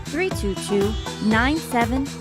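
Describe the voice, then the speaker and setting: A woman reads a scripted commercial as the announcer. Her voice is fairly high, and loud music runs behind it. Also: expressive, slow. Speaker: advertisement announcer.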